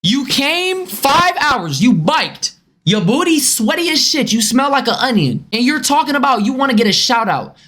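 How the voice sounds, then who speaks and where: Young man streamer, high boyish voice, animated reaction talk. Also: normal speed. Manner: animated, swearing, lecturing. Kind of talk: casual live-stream reaction.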